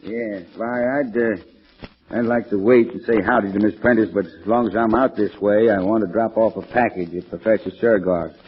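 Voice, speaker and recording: A man's voice: fairly low pitch, fairly even intonation, normal speed, lightly acted. Folksy cab driver, acted by a man, old radio. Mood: chatty. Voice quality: folksy drawl with dropped grammar. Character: folksy, chuckling rural New Hampshire cab driver. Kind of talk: 1940s radio acting. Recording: dull, lo-fi old radio recording.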